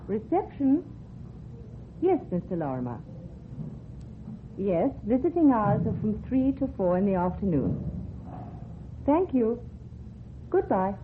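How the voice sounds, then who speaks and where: Officious receptionist, brisk, polite, animated intonation, radio drama, thin hissy lo-fi recording. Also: female voice, medium pitch. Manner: clipped, polite, matter-of-fact, dismissive. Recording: muffled, phone-like old radio recording, hissy.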